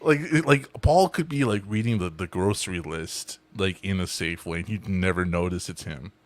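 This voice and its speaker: A man's voice: deep voice, normal speed, no echo. A man hosting a casual group podcast over a video call, rambling with restarts and swearing freely. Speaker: podcast host.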